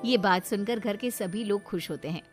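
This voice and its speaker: A woman's voice: medium pitch, normal speed, soft background music. A woman giving scripted narration for a Hindi cartoon story.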